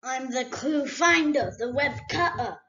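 A woman voicing a small, clever male hobbit who speaks quickly and politely in riddles. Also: high-pitched, roomy sound, highs cut off. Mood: polite, riddling. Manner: quick, flattering, riddling speech.

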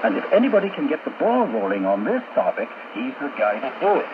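Thin, tinny shortwave audio with clear static frames a male programme presenter. His delivery is formal and scripted, with lively swoops in pitch, as he addresses colleagues and listeners directly from a studio. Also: fast talker. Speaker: radio show presenter and executive producer. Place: radio studio, heard over a shortwave receiver. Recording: tinny, static-laden shortwave radio broadcast.

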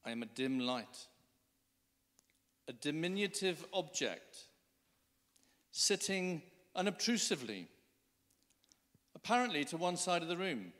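A man's voice: a little high, sing-song, measured pace, long pauses, a bit bright. A middle-aged man reading another writer's poem on a concert stage, line by line, into a handheld mic. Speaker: poetry reader. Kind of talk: measured poetry reading. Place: wood-panelled concert hall stage. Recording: echoey concert hall.

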